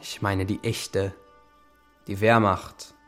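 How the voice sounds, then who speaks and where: A man's voice: lowish voice, level tone, slow pace, a bit bright, faint music behind. An actor playing a zealous young man eager for military service in a wartime radio drama. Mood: zealous, proud. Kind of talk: German radio drama acting.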